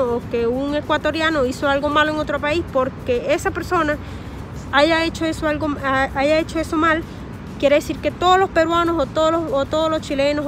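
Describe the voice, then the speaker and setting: A woman's voice: sits high, noisy background. A woman in her thirties with a Venezuelan accent, talking to camera outdoors in a park and earnestly giving advice, often repeating words as she searches for them. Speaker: vlogger. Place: park outdoors among trees. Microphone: phone or camera at close range, face masked.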